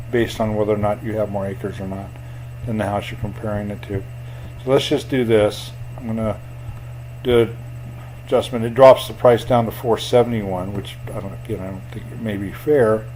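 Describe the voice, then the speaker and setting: Low-pitched man, flat and unhurried, for a screen tutorial with faint hum. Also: rough recording. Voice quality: self-described boring voice. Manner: plain, unhurried explaining with fillers.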